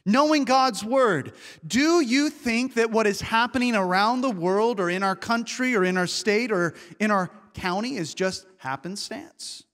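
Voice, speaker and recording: A male pastor preaching a sermon, asking earnest rhetorical questions and slowing down to stress key words. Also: high voice, fairly bright tone, big-room sound. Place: church sanctuary.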